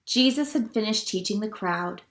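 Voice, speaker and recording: A woman leading a children's church storytime at home, talking gently to young listeners with questions and reading aloud. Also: mid-range voice, normal speed, roomy sound. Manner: gentle teacher talk, asking children questions.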